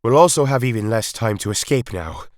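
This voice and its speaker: A man's voice: mid-range voice, expressive, fairly quick, dry recording, clean studio sound, pitched above the performer's own voice, lightly acted. A man playing an anxious, urgent time-travelling alien hero, speaking emphatically. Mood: urgent, grave.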